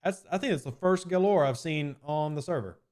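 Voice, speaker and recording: A man's voice: a little high, fairly quick, a little mellow. A man streaming live and answering chat questions in a casual, rambling way, often hedging with "I mean" and repeating his points.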